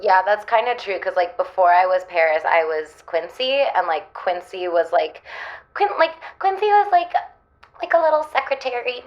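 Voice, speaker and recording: Over a slightly muffled, lo-fi line comes a woman acting a flighty young caller, dramatic and restless. She talks haltingly, doubling back on herself, at an ordinary middle pitch. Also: big pitch swings, close to an everyday voice. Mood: confessional. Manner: halting, repeats herself, peppered with "like". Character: flighty, dramatic young woman forever reinventing her personality. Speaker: caller to advice show. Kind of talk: improvised comedic phone-in.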